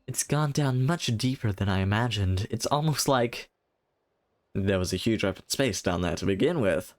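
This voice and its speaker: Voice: mid-range voice, lively intonation, moderate pace, darkish tone, no room sound, close to an everyday voice. A game streamer voicing a grave, composed guardian scout who explains ancient legends. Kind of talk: game dialogue read aloud.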